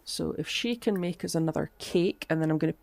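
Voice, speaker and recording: A woman chatting casually through gameplay commentary, her voice cutting out from a lingering cough as she thinks aloud with soft asides. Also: low voice, fairly quick, creaky, dry recording. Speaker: game streamer.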